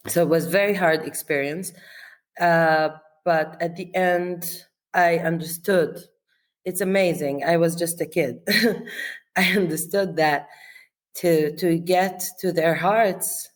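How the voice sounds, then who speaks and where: A woman, a chef being interviewed, talking in a reflective way with fillers, restarts and frequent laughs. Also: low-pitched. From Israel. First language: Arabic. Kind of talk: relaxed conversational interview.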